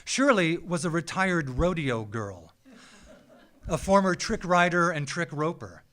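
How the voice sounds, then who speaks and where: An American man reads a scripted personal story live on stage, with a measured, theatrical delivery and deliberate dramatic pauses. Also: a little high, a bit bright. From small town in Minnesota, United States. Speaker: writer reading a personal essay. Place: small theatre, live event.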